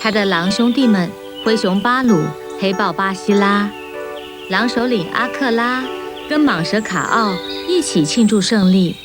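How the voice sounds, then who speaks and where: Female narrator, children's fairy tale, scripted storytelling, medium pitch, prominent music behind. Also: unhurried.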